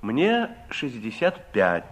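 Kindly old veteran in 60s radio drama, husky voice, very expressive melody, musing and teasing. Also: male voice, mid-range voice, slow, heavily acted. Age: older. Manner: avuncular, musing, gently teasing. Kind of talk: 1960s Soviet radio play acting.

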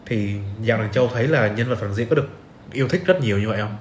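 A man speaks quickly as the host of a casual interview. His pitch is middling for a man and his phrasing is informal, full of little check-in questions. The room adds clear echo. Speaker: podcast host. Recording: roomy echo, faint background noise.

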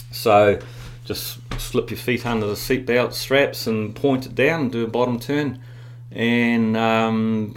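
A middle-aged man with a New Zealand accent, telling rambling, animated stories with many fillers in a casual interview. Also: on the low side, slight background noise. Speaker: guest.

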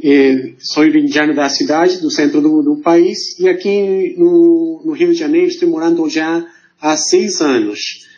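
A man's voice: on the high side, a little slow. A middle-aged man from Mexico City with a Mexican Spanish accent, speaking formally as a guest on a podcast, with filler sounds between phrases. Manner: formal, steady, many fillers. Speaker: guest, consular diplomat. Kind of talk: podcast interview. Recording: muffled lo-fi audio.

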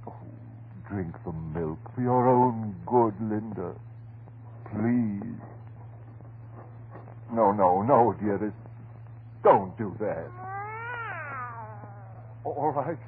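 Frantic, devoted husband coming unhinged, slow and halting, melodramatic horror radio style, tinny old noisy recording. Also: male voice, lowish voice, animated, exaggerated voice. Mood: coaxing. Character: frantic, devoted, unhinged husband guarding his transformed beloved. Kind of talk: vintage horror radio drama acting.

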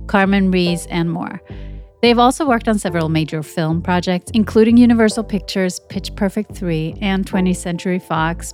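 A woman's voice: fairly low pitch, a little mellow. A woman hosting a music podcast, reading an upbeat scripted intro and then chatting casually with her guests. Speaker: podcast host.

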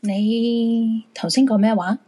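A woman plays a caring, nagging middle-aged mother in a radio drama. Her voice is fairly high and a little husky, with a Hong Kong Cantonese accent. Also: steady tone, slow pace, dark tone. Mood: sceptical, nagging. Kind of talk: live radio drama dialogue.

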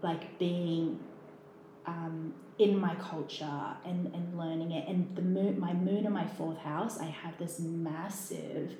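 A woman's voice: on the low side, fairly even intonation, takes it slowly, warm tone, a little hiss or hum. A woman from New Zealand, a podcast guest talking casually, with many fillers and restarts. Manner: chatty, lots of fillers and restarts. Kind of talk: casual conversation. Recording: echoey room.

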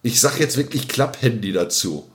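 A man's voice: medium pitch, animated, normal speed. A man co-hosting a podcast in casual fan chat, hesitant and roundabout, often repeating himself. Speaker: podcast co-host.

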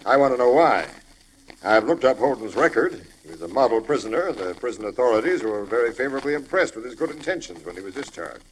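An actor playing a gruff, sardonic homicide captain with a dry, businesslike manner in a 1940s radio crime drama. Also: male voice, medium pitch, sing-song, quick pace, lightly acted. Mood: businesslike, concerned. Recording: noisy old-time radio recording.

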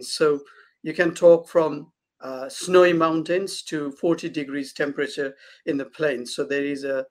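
A man's voice: a little high, fairly bright tone. A man from Nepal, a guest consultant, answering interview questions hesitantly with many fillers and restarts.

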